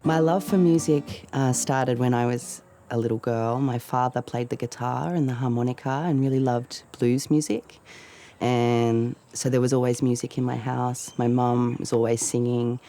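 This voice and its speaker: A middle-aged woman, a band leader, reflecting in a documentary interview in a relaxed, storytelling way. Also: very deep voice, moderate pace, faint music behind. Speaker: band leader, singer and guitarist.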